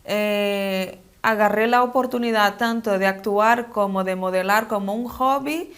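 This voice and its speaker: A young woman speaks Spanish as a second language, with a non-native accent. Her delivery is slow and measured, with some hesitation and repetition, as she answers in a conversational interview. Her pitch is average for a woman. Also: a bit bright. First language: not Spanish, speaks it as a second language. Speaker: interview guest, model and actress.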